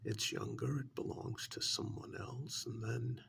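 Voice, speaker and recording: A man playing a sinister, menacing agent in an ASMR role-play, speaking softly in a breathy, gravelly half-whisper with deliberate pauses. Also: medium pitch, steady tone, slight background noise. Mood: mocking, accusing. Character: sinister male agent coolly interrogating and blackmailing a mad scientist. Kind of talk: soft-spoken ASMR role-play monologue.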